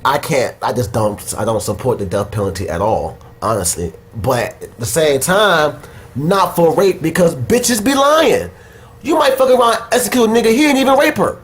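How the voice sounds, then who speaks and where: A young man with a fairly high voice hosts a loose, uncensored chat. He is blunt and opinionated, curses often, and sounds a bit stuffed up from a head cold. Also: expressive, moderate pace. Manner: blunt, opinionated, swears freely. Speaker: podcast host.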